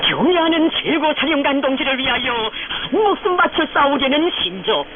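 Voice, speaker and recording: A woman announcer with a North Korean accent delivers scripted state news with fervent reverence. Her high voice rises and falls in sweeping, declamatory phrases. It comes through a very noisy shortwave broadcast. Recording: noisy shortwave radio, narrow phone-like band.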